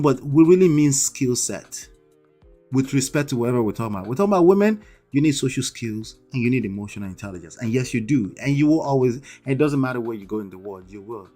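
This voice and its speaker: A man's voice: medium pitch, warm tone. A man with a thick Nigerian accent hosts a live stream, making emphatic, lecturing points and often checking in with "okay?" and "right?". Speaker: livestream host.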